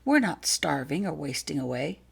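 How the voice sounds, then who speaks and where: A woman's voice: deep voice, sing-song, normal speed, vocal fry, breathy edge, full character voice. A woman voicing a sceptical, demanding mother in an audiobook story, speaking with incredulous questioning.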